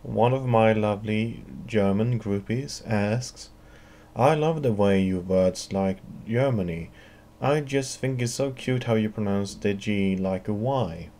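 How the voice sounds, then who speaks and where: A man's voice: on the low side, a little slow, mellow, slight background noise. A man with a Swedish accent answering viewer questions alone in a dry, wry, wordy monologue. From Sweden. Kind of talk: solo Q&A monologue.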